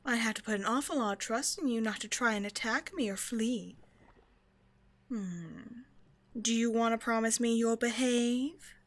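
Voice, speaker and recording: A woman's voice: a little high, normal speed, natural voice. Woman acting as a teasing, haughty vampire scientist. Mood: playful, teasing. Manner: playful, condescending, mock-sweet, theatrical. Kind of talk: scripted ASMR roleplay monologue addressed to the listener.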